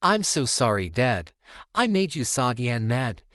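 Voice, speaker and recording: A man voicing an eager, excitable young boy cat in a children's storybook, with an earnest, exclamatory manner. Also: medium pitch, measured pace, slight background noise. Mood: apologetic. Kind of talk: children's storybook read-aloud.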